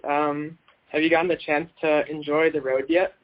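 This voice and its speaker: A man's voice: a little high, level tone, normal speed, dull audio. A man hosting an interview, introducing his guest and asking friendly, prepared questions. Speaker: interviewer host. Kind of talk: podcast interview.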